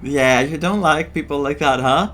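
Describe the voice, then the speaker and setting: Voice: mid-range voice, normal speed, fairly bright tone. A game streamer giving casual, gushing and giggly reactions while playing. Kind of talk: casual gameplay reaction commentary. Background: game music.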